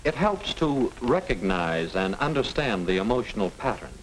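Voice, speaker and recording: A middle-aged American man reading scripted narration as a lecturer, didactic and deliberate. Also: on the low side, sing-song, poor audio. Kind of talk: scripted educational film narration. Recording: hissy 1950 film soundtrack.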